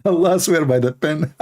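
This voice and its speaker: A man whose first language is Arabic, giving a mocking, sarcastic live commentary and often laughing at what he reads. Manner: sarcastic, laughing, theatrical swings in pitch. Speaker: religious debate streamer.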